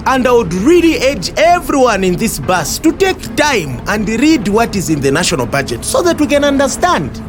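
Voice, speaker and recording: An earnest Zambian passenger in a radio play, acted by a man, patiently explaining with emphasis. His voice is high and swings animatedly, with music clearly behind it. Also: huge pitch swings, normal speed, hardly any pauses, full character voice. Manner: emphatic, explanatory, repeats himself. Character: earnest, well-informed male bus passenger explaining patiently. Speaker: actor in an audio drama. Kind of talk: acted audio drama dialogue.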